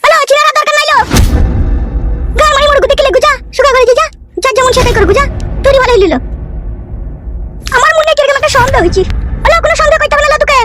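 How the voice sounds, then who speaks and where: A woman voicing a bossy, sharp-tongued village wife in a comic cartoon, with a pitched-up voice. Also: fast, long pauses, noisy background. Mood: blunt scolding.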